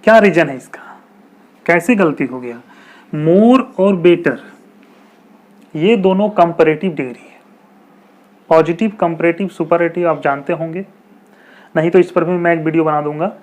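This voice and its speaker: Man teaching English grammar patiently. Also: a little high. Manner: explains patiently, repeats example sentences slowly; rhetorical questions, chatty teacherly asides. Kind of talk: explanatory video lesson.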